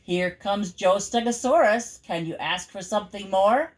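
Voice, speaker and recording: An older woman reads a children's picture book in verse aloud. Her voice sits at a medium pitch for a woman. She takes her time, keeping a slow, measured rhythm that leans on the rhymes. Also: a little mellow. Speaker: grandmother reading to children.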